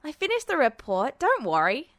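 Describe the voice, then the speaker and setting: A cocky teenage boy alchemist with a brash, smug way of talking, as a woman reads his lines. The voice sits fairly high and the audiobook recording is close and dry. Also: huge pitch swings, normal speed, a bit bright, no room sound, full character voice.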